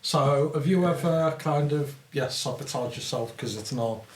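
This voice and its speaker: A man with a Liverpool accent, co-hosting a casual podcast chat in an animated, joking way with casual swearing. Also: fairly high pitch, a little slow. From Liverpool, England. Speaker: podcast co-host. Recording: echoey room, faint background noise.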